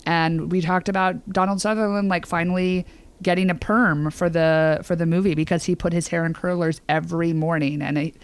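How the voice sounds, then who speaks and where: A woman's voice: lowish voice, fairly even intonation, a little fast, clean tone. An American woman co-hosting a podcast, talking casually in an emphatic, opinionated and slangy way. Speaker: podcast co-host. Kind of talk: casual pop-culture chat.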